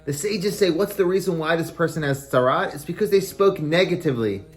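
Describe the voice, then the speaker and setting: A man's voice: fairly high pitch, lively intonation, a little fast. A man speaking as a rabbi giving a short, explanatory religious lesson, stressing key phrases in a didactic way.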